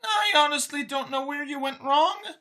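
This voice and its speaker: A woman's voice: high-pitched, moderate pace, pushed and bright, pitched above the performer's own voice. A woman voicing a nervous, earnest female alien mouse scientist in an audiobook, with a flustered, eager way of talking. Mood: flustered, defensive. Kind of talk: acted audiobook character.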